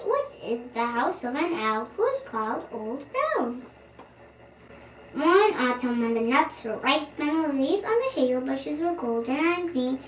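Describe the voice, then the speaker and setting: High-pitched, animated child reading a storybook. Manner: expressive, playful, speaking to young listeners. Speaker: story reader and host. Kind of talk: storybook read-aloud for children. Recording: roomy, distant mic, faint noise.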